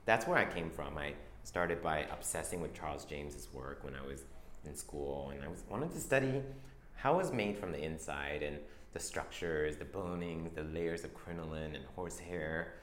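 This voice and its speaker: A young man from Taiwan, a fashion designer, talking about his work in a soft, hesitant way full of restarts. His voice sits very low, and the room around him is echoey with a faint hum of ambience. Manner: soft-spoken, halting, many restarts and fillers. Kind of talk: interview answers.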